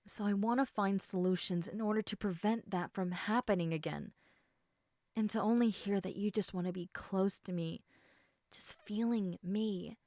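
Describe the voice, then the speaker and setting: A woman playing a caring, slightly snarky snake woman in an intimate audio roleplay, speaking in a soft, hesitant conversational murmur that dips into whispers. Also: mid-range voice, moderate pace, dry recording, clean studio sound, close to an everyday voice. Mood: remorseful, tender.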